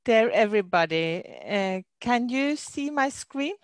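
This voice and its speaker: A middle-aged Norwegian woman, a library director, giving a webinar talk in careful, slightly halting English with a Norwegian accent. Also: fairly high pitch, expressive, dry recording, studio quality. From Norway. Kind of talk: webinar presentation. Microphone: computer mic on a video call.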